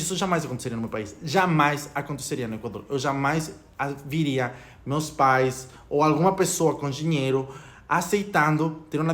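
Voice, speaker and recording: A man's voice: a little high, a bit bright. A young man from Ecuador with a Spanish accent in Portuguese, a vlogger talking to camera in an opinionated, rambling way. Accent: Spanish-speaking Ecuadorian accent in Portuguese. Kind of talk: casual talk to camera.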